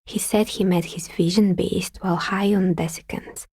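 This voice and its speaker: An actress playing a wry, pragmatic woman dicemaker in a video game, speaking in a measured, matter-of-fact way with a husky voice. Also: fairly low pitch, steady tone, dark tone, no room sound. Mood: dry, musing. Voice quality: husky, breathy with some creak. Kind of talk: video game character dialogue.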